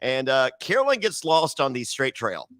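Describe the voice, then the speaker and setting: A man's voice: medium pitch, big pitch swings, moderate pace, a bit bright, no room sound, studio quality. A man hosting a casual recap chat, joking and laughing often, with frequent filler uhs. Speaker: podcast host.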